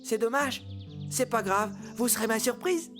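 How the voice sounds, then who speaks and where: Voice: medium pitch, lively intonation, moderate pace, music in the background, natural voice. An actor voicing a simple, scatterbrained male dwarf in a children's cartoon fairy tale, naive and earnest. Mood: cheerful, naive.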